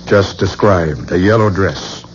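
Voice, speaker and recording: An actor playing a formal, guarded museum expert in a radio drama, precise and stiffly courteous. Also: male voice, sits low, lively intonation, a little slow. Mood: grave. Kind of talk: 1970s radio drama acting. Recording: dull, noisy 1970s radio recording.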